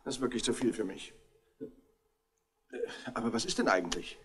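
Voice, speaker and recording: A man's voice: mid-range voice, sing-song, moderate pace, fairly bright tone, slightly rough, heavily acted. A man playing a fussy, self-pitying husband in a 1960s radio crime drama, with a polite manner. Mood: flustered. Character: fussy, put-upon husband arriving late, self-pitying.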